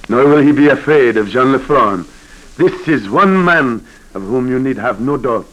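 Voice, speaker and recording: A man playing a commanding, sardonic Haitian official, theatrical and measured, in 1940s radio drama style. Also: medium pitch, expressive, fairly bright tone, close to an everyday voice, low-quality recording. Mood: assured, ominous.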